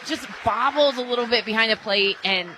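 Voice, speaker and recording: A woman's voice: a little high, a little fast, bright, forceful tone, a little hiss or hum, poor audio. An American woman giving conversational color commentary on a live sports radio broadcast. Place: stadium radio booth.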